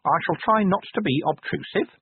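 A man's voice: sits high, expressive, a little fast, slightly rough, no echo, pitched above the performer's own voice, heavily acted. A man voicing a prim, fussy little male inspector who speaks precisely and hesitates before formal address. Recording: thin phone-like dry recording.

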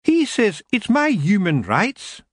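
A man's voice: high voice, wildly swooping pitch, slow pace, breathy edge, no room sound, clean studio sound, pitched above the performer's own voice, full character voice. A man voicing a sulky young female fairy with a Scottish accent, wheedling and pouty.

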